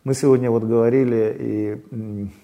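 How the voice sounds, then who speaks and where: Gravelly man, halting speech. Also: medium pitch, fairly even intonation, muffled recording. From Belarus. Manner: halting, full of fillers and restarts. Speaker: interview guest. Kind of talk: casual interview talk.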